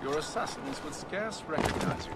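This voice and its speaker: Stern, animated Grand Master. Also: male voice, on the high side, gravelly, very noisy. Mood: cold, stern. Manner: formal, measured phrasing. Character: stern, refined Templar Grand Master, coldly resolute commander. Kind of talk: video game cutscene acting.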